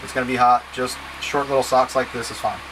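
A man's voice: medium pitch, fast talker. Plain-spoken man instructing over background noise. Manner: plain-spoken, step-by-step, casually directive. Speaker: scout trip leader. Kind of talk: instructional packing talk to camera. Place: small wood-panelled boat cabin, indoors. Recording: steady background hum and noise.

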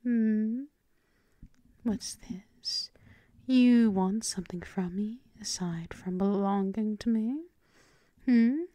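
A woman voicing a seductive, dominant vampire mistress in a breathy, teasing, mostly whispered ASMR roleplay. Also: medium pitch, mellow, dry recording, lightly acted. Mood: teasing, stern. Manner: breathy, slow, teasing, coaxing. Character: seductive, dominant vampire mistress doting on her pet thrall.

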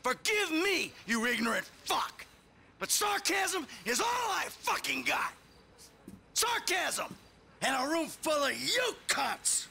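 An actor playing a sarcastic, foul-mouthed middle-aged retired criminal with an American accent in a video game. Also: male voice, high voice, big pitch swings, normal speed, bright, forceful tone, slight background noise, exaggerated voice. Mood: sarcastic exasperation. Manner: sardonic, heavy swearing. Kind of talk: naturalistic video game dialogue.

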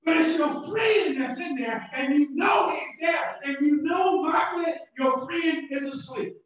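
A man with a Southern American accent, a pastor preaching a sermon with shouted emphasis and repeated words. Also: very high-pitched, unhurried, some chatter behind. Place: church sanctuary. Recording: distant, very echoey and muffled church recording.

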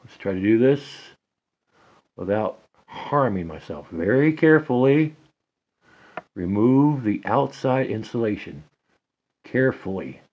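A middle-aged man giving a casual hands-on repair tutorial at a table indoors, talking slowly and haltingly, half to himself as he works. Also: lowish voice, expressive, lo-fi sound. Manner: slow, halting, talks to himself while working. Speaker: DIY hobbyist demonstrating a repair.

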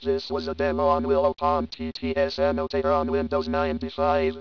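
A synthetic male text-to-speech voice playing a Martian alien in a robotic software demo. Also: very deep voice, monotone, brisk, clear, resonant tone, dry recording. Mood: flat robotic. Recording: dull lo-fi computer audio.

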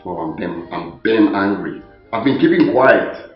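A man's voice: high-pitched, sing-song, slow, full character voice. A man with a Nigerian accent playing an angry, stubborn young prince in a film drama, insistent and emphatic, repeating himself. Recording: muffled roomy film audio, faint music.

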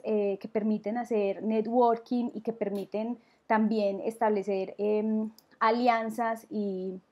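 A young woman with a warm, mellow tone, explaining marketing plans in a measured way. She fills pauses with soft "eh" sounds. She speaks through a webcam and earphone mic in a small room at home. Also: mid-range voice. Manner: measured, explanatory, many "eh" fillers. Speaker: tourism marketing advisor. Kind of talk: advice on a video call.

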